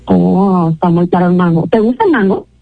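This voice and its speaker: A woman's voice: fairly low pitch, brisk, creaky, soft background music. A woman on a phone call, patient and polite, often laughing as she answers a caller's odd questions. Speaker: prank call target. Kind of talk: phone conversation.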